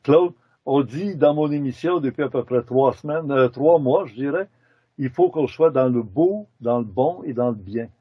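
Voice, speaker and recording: A man's voice: medium pitch, animated, normal speed, husky, dry recording. A middle-aged male host, interviewing his guest in a chatty way with leading questions and friendly asides. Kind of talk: casual interview. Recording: dull lo-fi dry studio audio.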